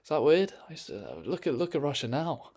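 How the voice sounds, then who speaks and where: A man's voice: fairly high pitch, very animated, fast, a little mellow, breathy edge. A man chatting casually on a live stream from his bedroom, rambling with lots of ums and restarts.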